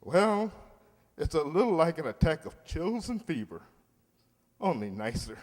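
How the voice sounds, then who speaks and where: A man's voice: a little high, very animated, unhurried, darkish tone. Man acting a blustery, swooping sentimental uncle, echoey hall. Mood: cheerful, tender. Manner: theatrical, hesitant, blustering. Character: pompous, sentimental, lovestruck middle-aged uncle. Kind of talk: old-time radio comedy acting. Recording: echoey hall with long reverb.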